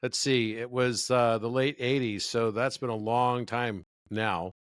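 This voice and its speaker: A man's voice: mid-range voice, steady tone, a little slow, clean tone, dry recording, clean studio sound. A man in his fifties, a guest in a conversational interview, telling stories with enthusiasm. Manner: enthusiastic, storytelling.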